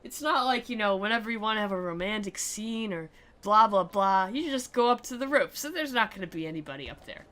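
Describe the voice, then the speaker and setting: A woman's voice: medium pitch, animated, fairly quick, few pauses, a bit bright. A woman giving sarcastic, theatrical commentary as she plays and reads aloud from a video game, in a mocking, giggle-prone way. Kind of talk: sarcastic playthrough commentary with dramatic reading.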